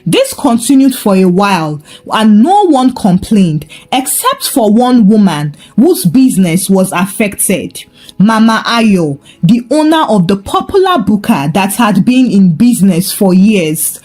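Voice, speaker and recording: A woman's voice: mid-range voice, big pitch swings, slow pace, darkish tone. A woman storyteller reading a scripted folktale narration.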